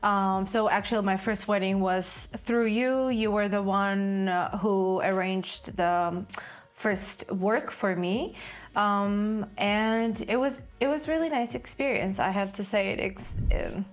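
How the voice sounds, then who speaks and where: A woman's voice: mid-range voice, fairly even intonation, slow pace. Young woman from Georgia, thoughtful speech. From Georgia (country). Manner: friendly, thoughtful, many "um"s. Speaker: podcast co-host, wedding photographer. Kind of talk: casual podcast chat.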